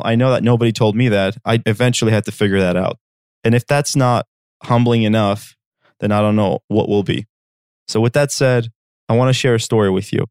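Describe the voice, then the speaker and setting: A man's voice: lowish voice, darkish tone, smooth, dry recording, clean studio sound. A man in his thirties from Armenia, a podcast host telling a personal story in an earnest, direct way and checking in with listeners. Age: 30s.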